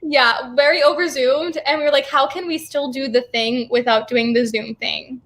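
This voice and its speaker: Bright, high-pitched young woman. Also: steady tone, moderate pace. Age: young adult. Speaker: interviewee, theater company co-founder. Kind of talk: casual interview chat.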